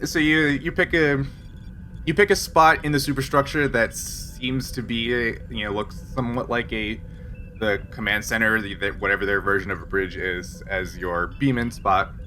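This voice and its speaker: A man improvising narration as game master of a casual tabletop role-playing game, pausing to find his words. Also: on the low side, fairly even intonation, bright, forceful tone, slight background noise. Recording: faint music underneath.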